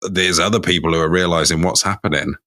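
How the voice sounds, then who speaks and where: Low-voiced man telling a folk tale jokingly. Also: fairly even intonation, fast talker, sharp tone, no echo. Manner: dry, rambling, joking asides. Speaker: podcast co-host and storyteller. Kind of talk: comedic retelling of folklore.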